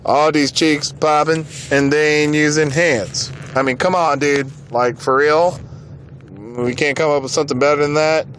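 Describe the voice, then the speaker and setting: Higher-voiced American man with noisy background. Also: fairly even intonation, a little slow, a bit bright. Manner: exasperated, informal and emphatic. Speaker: podcast host. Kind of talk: casual opinion commentary.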